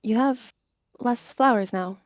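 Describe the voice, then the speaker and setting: A woman's voice: a little high, measured pace, no echo, clean studio sound, pitched above the performer's own voice, natural voice. A woman voicing a young female clan cat, loyal, emotional and stammering, in an animal roleplay drama. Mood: concerned.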